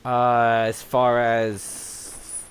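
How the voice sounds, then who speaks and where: A man's voice: mid-range voice, completely flat delivery, drawn-out words, smooth. A man chatting casually and informally, reacting to a story and often repeating words as he goes. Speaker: guest listener giving reactions. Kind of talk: casual chat.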